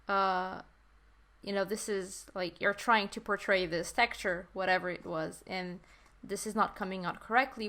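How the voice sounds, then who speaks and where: A woman's voice: medium pitch, fairly bright tone. A woman whose first language is Portuguese, hosting a casual podcast chat and eagerly agreeing with her guest. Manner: enthusiastic and agreeing, often affirms the guest. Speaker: podcast host. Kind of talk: casual conversation.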